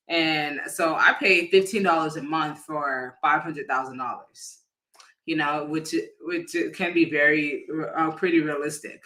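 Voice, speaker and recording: A man working as an insurance agent, explaining policies casually on a livestream, often pausing with fillers and restarting his sentences. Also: fairly high pitch, sharp tone, low-quality recording. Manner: hesitant, many fillers and restarts; addresses viewers informally.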